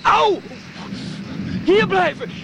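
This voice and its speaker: An exasperated young traveller in a radio play. He shouts frantically at an extremely high pitch, with loud noisy effects around him. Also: drawn-out words, gravelly, heavily acted. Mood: frantic, angry. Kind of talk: radio drama acting.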